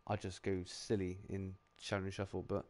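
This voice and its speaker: A man's voice: sits low, monotone, moderate pace, darkish tone. A man hosting a casual chat, talking in a laid-back, rambling way full of fillers. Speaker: channel host on a live round-table stream.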